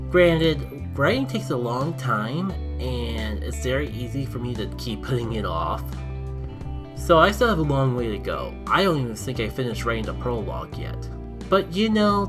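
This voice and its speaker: A man narrating expressively, with dramatic emphasis, as a video creator telling his own story. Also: on the low side. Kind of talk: casual intro, then reading own written story aloud.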